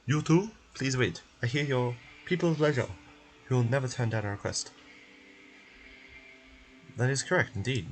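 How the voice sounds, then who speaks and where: A man's voice: mid-range voice, normal speed, long pauses, soft background music, natural voice. Man playing a formal, wordy scholar. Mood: matter-of-fact.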